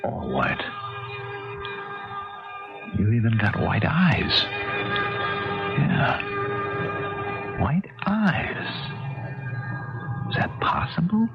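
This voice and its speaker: A man's voice: medium pitch, wildly swooping pitch, extremely slow, gravelly, long pauses, heavily acted. An actor playing a grumbling, skeptical married man in a 1970s radio drama, speaking in a wry, colloquial way. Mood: wondering muttering. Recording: muffled 1970s radio broadcast with music.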